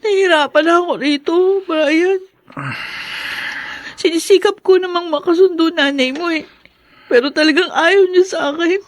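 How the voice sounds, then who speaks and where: A woman's voice: extremely high pitch, moderate pace, dry recording. A woman playing a meek, weary young pregnant wife in a Tagalog radio drama, with a plaintive manner. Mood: tearful complaint. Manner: apologetic, plaintive.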